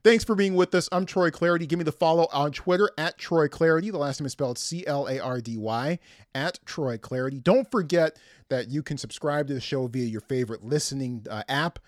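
A man's voice: on the high side, a little fast, no room sound, clean studio sound. A middle-aged man presenting a sports podcast with the upbeat, emphatic delivery of a play-by-play announcer.

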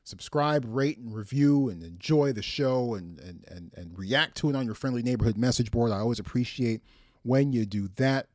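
An American man hosting a sports podcast, giving preview commentary to listeners in emphatic, deliberate phrases. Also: medium pitch, darkish tone, dry recording.

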